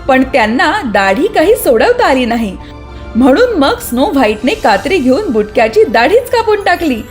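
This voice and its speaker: A woman narrating a scripted children's story as an expressive storyteller. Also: sits high.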